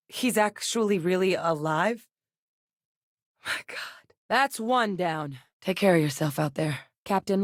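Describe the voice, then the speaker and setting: A level-headed woman scientist and seasoned field hand from a video game, as an actress plays her, speaking in a low voice. Her delivery is measured and matter-of-fact. The studio sound is close and dry, with no room around it. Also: dry recording, natural voice. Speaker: video game companion character. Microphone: studio microphone.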